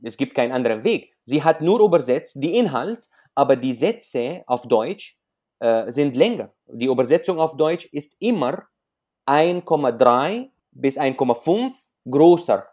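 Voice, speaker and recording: A man whose first language is Arabic, speaking with an Arabic accent at a medium pitch. He is a guest in a conversational interview and explains things by example. He often hesitates as he searches for the next word. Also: animated, a little slow, a little mellow. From Syria. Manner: hesitant, searching for words in non-native German, explains with examples.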